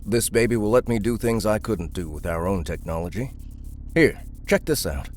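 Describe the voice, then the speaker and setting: An actor playing a gruff, confident mechanic in an audiobook drama, talking in a blunt, wry, offhand way. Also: male voice, on the low side, expressive, normal speed, faint music behind, close to an everyday voice.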